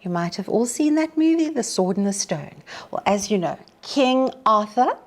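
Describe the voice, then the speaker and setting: A woman teacher introducing and reading a narrative poem to schoolchildren in a deliberate, expressive way. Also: mid-range voice. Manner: deliberate, expressive, addressing children. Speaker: teacher reading a story to pupils. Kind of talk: storytime introduction and dramatic poetry reading.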